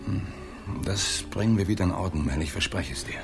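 A protective, anguished father in a German radio crime play. His middle-aged voice is low and creaky, swinging widely from muttering to pleading. He speaks in short, halting, repeated phrases. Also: animated, over music. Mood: gently soothing. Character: anguished, protective father of a drug-addicted daughter. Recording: 1980s studio radio play.

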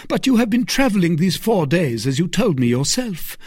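A man voicing a kindly, anxious convent abbess who questions earnestly and hesitantly, in a raised husky voice. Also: sits high, very animated, moderate pace, darkish tone, no room sound, clean studio sound, pitched above the performer's own voice, exaggerated voice. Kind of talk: audiobook character reading.

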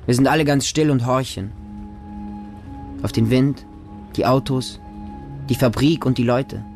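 A man's voice: mid-range voice, a little slow, darkish tone, soft background music, close to an everyday voice. A man playing a watchful, trusting homeless boy in a radio drama monologue, speaking in simple, childlike short sentences. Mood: wary, hushed. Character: homeless street boy living with a pack of dogs, watchful, trusting, defiant.

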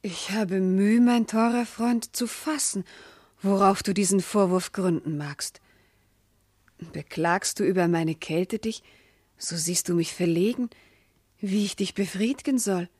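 A woman's voice: mid-range voice, a little slow, a little mellow, dry recording, natural voice. Woman acting a noble wife in a classic radio play, hurt and indignant, formal verse delivery, dry sound. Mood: earnest, tender. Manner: formal, declamatory, impassioned. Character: proud, loving wife, noble lady, wounded and indignant.

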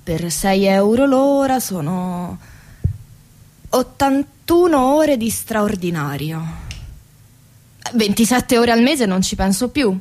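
An exhausted factory worker telling her story in a radio play. She has a mid-pitched voice and an ironic, bitter edge, hammering key words for emphasis. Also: expressive, takes it slowly, long pauses, a little mellow. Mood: pensive. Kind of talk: radio theatre monologue.

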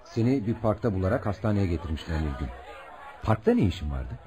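A man's voice: low-pitched, sing-song, fast talker, crowd noise. An actor playing a protective, kindly family man, firm and reassuring, in a radio drama.

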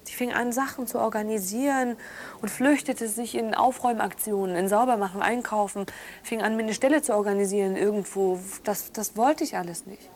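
An actress playing a hurt, candid thirty-year-old daughter in natural, improvised-sounding radio drama dialogue. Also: female voice, mid-range voice, fairly quick, a little mellow, lightly acted. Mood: hurt, frustrated. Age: 30s.

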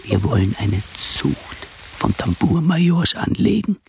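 An actor in a radio drama playing a swaggering, boastful, lustful military drum major with a breathy, seductive delivery. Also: male voice, fairly high pitch, big pitch swings, a little slow, darkish tone, slight background noise, full character voice. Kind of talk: theatrical radio drama acting.

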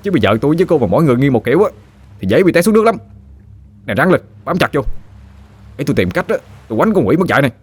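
A man with a Southern Vietnamese accent voicing a scrappy, hot-headed young man who stammers and blusters quickly. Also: on the high side, animated, voice pushed up. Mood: flustered.

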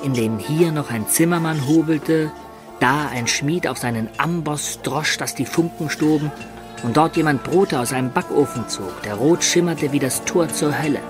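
A man's voice: a little high, moderate pace, close to an everyday voice. An actor playing a medieval adventurer who recounts his own tale as narrator of a radio play. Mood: storytelling. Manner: descriptive storytelling. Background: music behind the voice.

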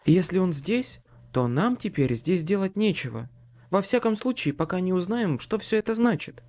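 A woman's voice: fairly low pitch, very animated, normal speed, pitched above the performer's own voice. A man voicing a weary but commanding woman in an audiobook story, urgent and short-tempered. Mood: firm, decisive. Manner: hushed, urgent, insistent.

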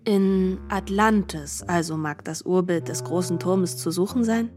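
A woman narrating scripted literary prose for radio in a measured, formal storytelling manner. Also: fairly low pitch, darkish tone, soft background music.

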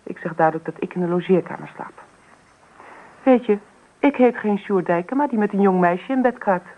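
A woman plays a cool, self-possessed wife and mother in a radio play. She sounds matter-of-fact and direct, with a medium-pitched voice and firm, businesslike delivery. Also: moderate pace, phone-quality sound, natural voice. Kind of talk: Dutch radio drama acting. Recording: radio drama recording.

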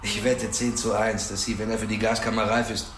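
An actor playing a cocky, provocative, self-assured police boxer in a crime radio drama. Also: male voice, fairly low pitch, flat delivery, fairly quick, lightly acted. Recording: echoey room, clear background noise.

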